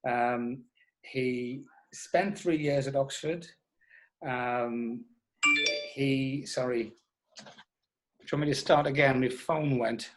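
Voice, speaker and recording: A middle-aged man being interviewed as a writer, speaking reflectively and haltingly. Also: medium pitch, steady tone, heavy background noise. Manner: thoughtful, halting delivery. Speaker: interviewed writer who adapted his father's stories.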